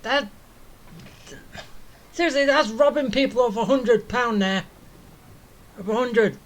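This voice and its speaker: A woman's voice: a little high, a little slow. A woman giving casual commentary as she plays through a video game. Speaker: gaming streamer. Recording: game music behind the voice.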